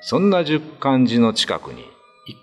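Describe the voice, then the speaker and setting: A man's voice: mid-range voice, wildly swooping pitch, measured pace, soft background music. A man narrating a ghost story in a dramatic, scripted radio drama style.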